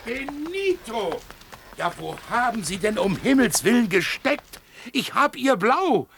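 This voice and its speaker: A male actor in a German radio play playing an eager, excitable paint merchant, effusively calling out to a customer. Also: very high-pitched, measured pace, gravelly, a little hiss or hum, exaggerated voice. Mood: excited.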